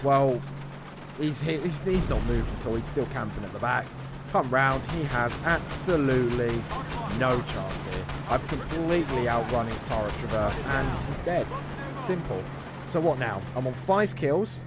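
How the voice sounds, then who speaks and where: A man's voice: medium pitch, expressive, unhurried, over loud music. A man giving running play-by-play commentary on his own gameplay in short bursts, as the host of a gaming video.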